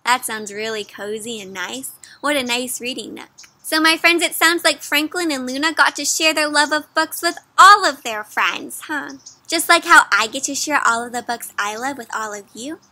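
Young woman, children's read-aloud host. Very bright, high voice, animated and engaging with young listeners. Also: normal speed, few pauses. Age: young adult. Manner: animated, addresses listeners with frequent questions. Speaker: children's story reader, host. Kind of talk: interactive picture-book read-aloud for kids. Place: outdoors under trees.

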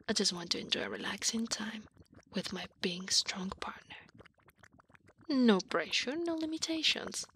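A woman voicing an affectionate, teasing, clingy slime wife in a soft, half-whispered ASMR roleplay. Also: medium pitch, slight background noise. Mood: affectionate, soothing. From Italy. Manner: soft, breathy half-whisper, intimate, coaxing.

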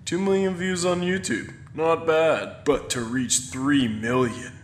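A man voicing a smug, lecherous villain in a comedic anime parody dub, with a husky, gloating drawl. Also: on the high side, fairly even intonation, unhurried, a little hiss or hum, natural voice. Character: smug, lecherous villain plotting for online fame.

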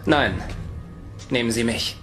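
An actor playing a defiant human captive who protects others, firm, in a sci-fi radio drama. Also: male voice, medium pitch, slow, bright, forceful tone. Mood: firm defiance. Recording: music behind the voice.

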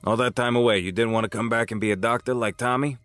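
An actor playing an older crime boss in an audio drama, patronizing and deliberate, with a smug, folksy menace. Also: male voice, medium pitch, steady tone, fairly bright tone, no echo, faint music behind, close to an everyday voice. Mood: smug, persuasive.

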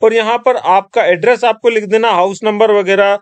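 A man's voice: sits high, steady tone, moderate pace, smooth, dry recording. A man from Rajasthan talking viewers step by step through an online form in a screen-recorded tutorial. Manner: step-by-step instructing, addresses viewers as friends. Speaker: tutorial presenter.

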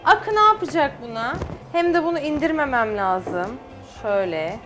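A woman's voice: high voice, lively intonation, moderate pace, over music. A middle-aged woman, a guest chef on a studio cooking show, explaining each step as she works. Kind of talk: cooking demonstration. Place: TV studio kitchen set.

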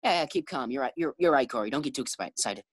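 A woman plays an anxious radio sitcom husband in a low voice that leaps about in pitch. He is doting, befuddled and stammering, on a dry, close recording. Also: lively intonation, very fast, slightly rough, no room sound, full character voice. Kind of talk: 1940s radio sitcom acting.

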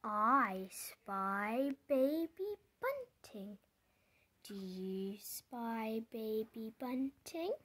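A storybook reader going through a picture book aloud in simple, deliberate phrases, pausing and putting questions to the listener. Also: mid-range voice, animated, sharp tone, slightly rough. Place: indoors, book held before the camera.

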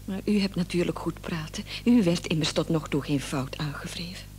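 Actress as distraught wife in a radio play. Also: female voice, on the low side, moderate pace, darkish tone, natural voice. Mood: bitter, reproachful. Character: distraught, reproachful wife of a gravely ill man. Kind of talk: radio drama acting. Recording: old radio play, faint noise and hum.

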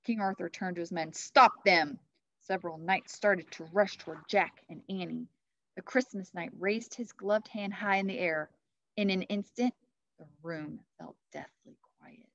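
A woman in her thirties reading a children's story aloud like a teacher, with expressive narration. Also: lowish voice, vocal fry, no echo. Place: classroom, indoors. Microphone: computer webcam at desk distance. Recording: muffled webcam audio.

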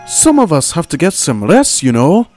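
Guy voicing a worn out old steam engine, snappy and fed up, pitched up high, music faint in back. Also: wildly swooping pitch, normal speed. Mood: exasperated. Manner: sharp, impatient scolding. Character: tired, exasperated old male steam engine.

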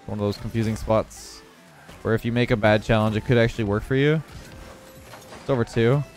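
A man's voice: fairly low pitch, brisk, soft background music. Congested young man calling his gameplay. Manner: relaxed running commentary on his own play. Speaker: gaming video creator. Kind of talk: live gameplay commentary.

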